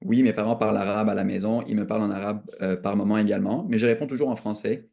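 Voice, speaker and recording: Young male podcast guest, Quebec French accent. Soft-edged, warm tone, earnest and reflective conversational delivery. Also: on the low side, steady tone, fast talker, creaky. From Canada. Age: young adult.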